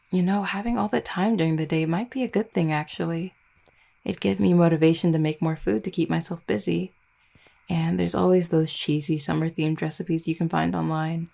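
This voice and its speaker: Woman acting a mellow, low-voiced vampire girlfriend. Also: vocal fry. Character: affectionate, gently teasing vampire girlfriend, low-key and caring. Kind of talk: intimate roleplay audio, soft conversational acting.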